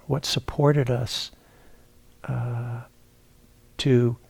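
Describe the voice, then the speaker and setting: A man leads a guided meditation at a very slow pace. His voice is mellow and relaxed, with some gravelly fry. He talks reflectively and haltingly, often pausing to find the next phrase. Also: medium pitch, dry recording. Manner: halting, reflective, searching for words with repeats and pauses. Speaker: meditation teacher. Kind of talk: guided meditation talk, unscripted. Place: meditation retreat center, indoors.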